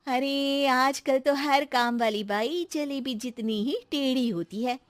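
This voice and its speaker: A woman voicing a sensible, wry and firm housewife in an expressive style for a comic animated story. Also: high voice, slow. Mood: wry, reassuring.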